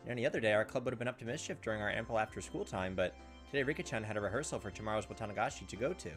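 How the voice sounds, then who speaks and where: A man's voice: fairly low pitch, very fast, fairly bright tone, soft background music. A man hosting a let's play, reading a visual novel aloud and commenting casually as he goes.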